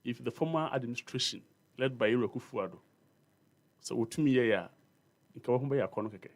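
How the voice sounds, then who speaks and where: A man's voice: mid-range voice, animated, a little fast. A Ghanaian man debating prices and government policy on a radio panel, insistent and argumentative, mixing English with Twi. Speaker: panel guest. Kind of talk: heated political debate. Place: radio studio.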